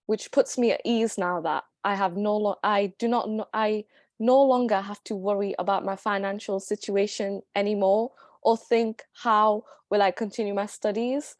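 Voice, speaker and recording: A woman's voice: medium pitch, fairly even intonation, no room sound, clean studio sound. Sincere woman giving a speech. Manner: sincere, slightly halting. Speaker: student speaker. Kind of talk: personal speech to an audience.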